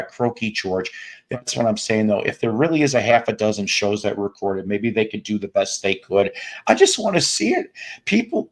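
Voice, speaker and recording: A man with a raspy, hoarse voice hosting a music podcast, joking and rambling through tangents in casual chat. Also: fairly low pitch, low-quality recording. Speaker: podcast host.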